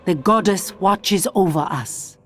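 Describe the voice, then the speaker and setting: Slow, dignified elf priestess. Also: female voice, lowish voice, soft background music. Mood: cool, dignified. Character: stern, devout female elf high priestess. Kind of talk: video game character voice lines.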